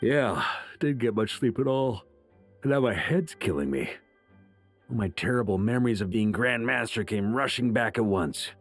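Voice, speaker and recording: A man's voice: medium pitch, animated, moderate pace, soft background music, close to an everyday voice. A man voicing an easygoing, roguish middle-aged knight commander in an anime game, talking in a casual, joking way. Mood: weary, sheepish.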